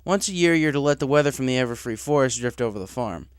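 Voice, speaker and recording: An easygoing stallion in charge of a crew, played by a male storybook reader, with a medium-pitched voice. He is casual, frank and businesslike, and the sound is dry. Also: animated, fast, smooth, no echo, close to an everyday voice. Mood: instructive. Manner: casual, frank, matter-of-fact. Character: easygoing, businesslike adult male pegasus boss.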